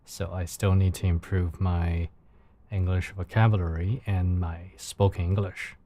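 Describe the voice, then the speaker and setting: Chinese-accented male streamer, soft soothing voice, mellow and low, halting casual chat. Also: flat delivery. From China. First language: Mandarin Chinese. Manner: unhurried, halting, with fillers and restarts. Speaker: live streamer.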